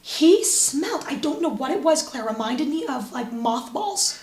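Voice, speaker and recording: A woman co-hosting a casual chat show, telling stories in an animated way and laughing often. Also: high voice, moderate pace. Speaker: co-host. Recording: echoey room.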